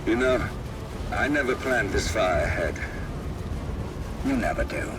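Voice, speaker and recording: A man's voice: fairly low pitch, expressive, a little slow, background noise. Action game role: terse male operative, tough, swinging intonation, heard from a distance. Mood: wry, alert. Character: tough male field operative on a covert mission. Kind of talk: action game cutscene acting. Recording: distant mic under loud music.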